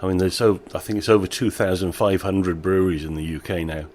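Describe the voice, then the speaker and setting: A man who owns a small brewery, chatting in an interview with a relaxed, self-deprecating manner and frequent laughter. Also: low-pitched, fast, a little hiss or hum. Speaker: brewery owner. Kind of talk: casual interview chat.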